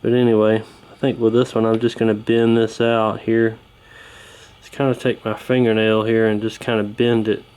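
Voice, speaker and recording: A man's voice: on the low side, little pitch movement, moderate pace, a little mellow, clean tone, low-quality recording. Man casually talking through a repair. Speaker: host of a radio repair channel. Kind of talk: casual hands-on repair walkthrough.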